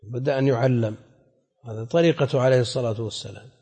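Husky man lecturing in an echoey hall. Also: medium pitch, quick pace, warm tone. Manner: didactic, conversational, often posing questions. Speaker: Islamic scholar teaching a hadith class. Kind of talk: explanatory religious lecture with questions to students. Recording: echoey hall with long reverb.

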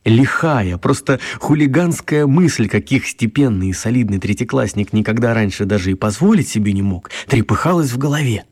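A male actor as the storyteller of a children's radio play, narrating expressively in a husky voice. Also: mid-range voice, animated, moderate pace, few pauses, a little mellow. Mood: storytelling.

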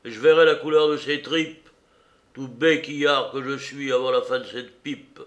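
A man's voice: mid-range voice, steady tone, moderate pace, a bit bright, muffled recording, natural voice. A man voicing an old one-legged pirate captain who roars threats and commands his crew. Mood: menacing.

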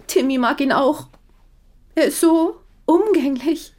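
An actress playing a weary, defiant wife, frank and reproachful, in a German radio crime drama. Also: female voice, high voice, takes it slowly, darkish tone. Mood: exasperated, defiant.

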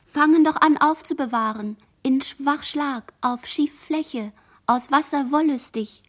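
A woman playing a dazed, barely conscious girl who survived a shipwreck, speaking halting fragments in a radio play monologue. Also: high-pitched, a bit bright. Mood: trance-like. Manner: fragmented, halting word chains.

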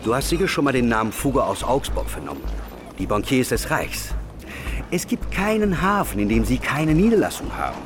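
An actor playing a bold, cunning schemer in a historical German radio drama, talking with theatrical, persuasive confidence. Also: male voice, mid-range voice, sing-song, a little fast, music in the background, close to an everyday voice.

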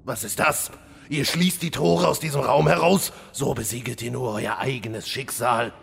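A man's voice: mid-range voice, moderate pace, vocal fry, crowd noise. A man playing a vain, menacing demon-hunter villain lord, pompous and petulant, in a fantasy parody audio drama.

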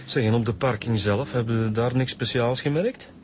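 An actor playing a down-to-earth police inspector in a radio drama, with a Flemish accent. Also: male voice, medium pitch, normal speed, lightly acted. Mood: probing. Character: down-to-earth male police inspector, probing junior partner. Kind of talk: naturalistic radio drama acting. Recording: loud ambience and vehicle noise.

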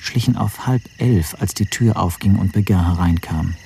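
A bitter, weary man in his thirties narrating a radio drama. His voice is fairly low and soft-edged, darkly mellow. A faint noise sits behind it. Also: normal speed, vocal fry. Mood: reflective. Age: 30s. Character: bitter, weary young stateless man recounting his arrest. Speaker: first-person narrator and lead.

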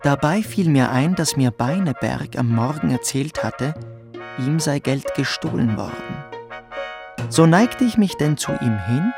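An actor playing a smug, quietly cruel young boarding-school pupil, telling his story theatrically in a radio play. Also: a little high, lively intonation, a little slow, gravelly, music in the background. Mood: smug relish. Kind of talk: dramatic first-person storytelling in a radio play.

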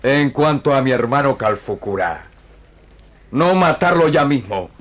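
An actor playing a proud, cunning chief in an old radio drama, bragging in broken, stylised grammar. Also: male voice, mid-range voice, lively intonation, measured pace, natural voice. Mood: smug.

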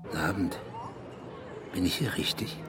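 A man's voice: deep voice, moderate pace, music in the background, a crowd in the background, lightly acted. An actor playing an obsessive, bitter and needy male writer in a radio drama, brooding and provocative in manner. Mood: leering, taunting. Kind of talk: radio drama acting and literary monologue.

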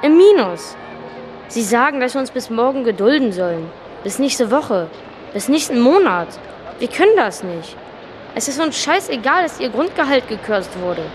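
High-voiced, scrappy resentful child. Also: female voice, very animated, normal speed, over music. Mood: resentful, defiant. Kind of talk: stylised radio drama recitation.

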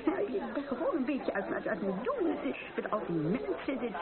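Radio drama: chatty, fussy middle-aged mother, animated, crowd loud behind her. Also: fairly high pitch, moderate pace. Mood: flustered, placating. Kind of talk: radio play acting. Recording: muffled phone-like band, loud party crowd.

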